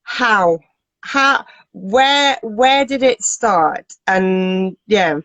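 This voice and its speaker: Slightly croaky woman hosting a live stream. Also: medium pitch, expressive, extremely slow, a bit bright, no echo. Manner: friendly, laughs often, um-filled. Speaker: host. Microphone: phone or computer mic on a live stream.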